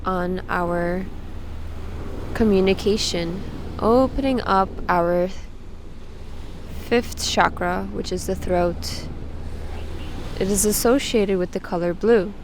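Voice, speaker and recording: Slow-paced woman guiding a meditation. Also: mid-range voice, noisy background. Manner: slow, pausing, soothing instruction. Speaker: guided meditation host. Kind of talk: guided meditation.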